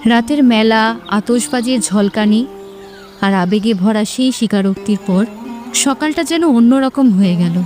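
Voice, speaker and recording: A woman's voice: a little high, measured pace, a little mellow, soft background music. A woman narrator reading a scripted romantic story.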